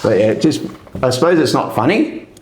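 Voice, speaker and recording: A middle-aged man with an Australian accent, telling rambling army stories in a relaxed podcast chat, with lots of ums. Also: medium pitch, moderate pace, roomy sound. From New Zealand. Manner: rambling, laid-back, many ums. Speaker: podcast guest, army veteran. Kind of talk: casual storytelling chat.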